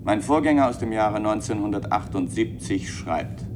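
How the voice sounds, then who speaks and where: An actor playing a composed, slightly wry statesman in a radio play, reading aloud in a measured, formal way. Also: fairly low pitch, background noise, natural voice. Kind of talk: German radio play acting.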